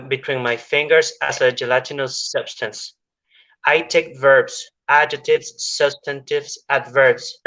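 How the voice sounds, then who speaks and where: A man reading a poem in measured lines, with a few small stumbles. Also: mid-range voice, sharp tone. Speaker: poet reading a translation. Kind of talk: poetry recitation. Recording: dull lo-fi online call audio.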